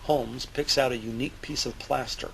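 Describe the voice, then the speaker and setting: A man narrating an audio drama from a script in a deliberate, theatrical style. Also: mid-range voice, fairly even intonation, gravelly, a bit bright, a little hiss or hum, rough recording. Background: music under the voice.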